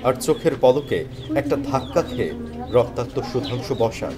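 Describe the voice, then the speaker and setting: A man's voice: medium pitch, expressive, normal speed. A man giving dramatic, scripted first-person narration of a story. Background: background music.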